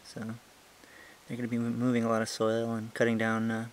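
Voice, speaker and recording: A young man casually narrating a hiking vlog outdoors on a trail, explaining things in a relaxed, chatty way with many fillers, recorded on the handheld camera he films with. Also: medium pitch, brisk, a little hiss or hum. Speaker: ant-keeping channel host. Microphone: handheld camera he is filming with.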